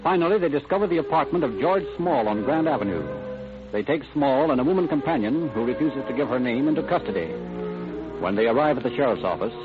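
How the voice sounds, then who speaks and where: A man's voice: medium pitch, expressive, quick pace. A man giving formal, dramatic scripted narration for an old-time radio crime drama. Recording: dull vintage radio recording with music. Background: music.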